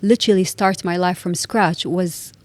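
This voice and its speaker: Earnest woman, low voice, dry studio sound. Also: fairly even intonation, a little mellow, dry recording. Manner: earnest, thoughtful, speaks in measured repeated phrases. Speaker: podcast guest. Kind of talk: reflective podcast conversation.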